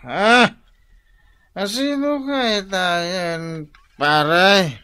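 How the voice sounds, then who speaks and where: An actor with an Ilocano accent playing a shiftless, hard-drinking, wheedling workman in an excitable radio drama style. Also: high voice, very slow, clean tone, heavily acted.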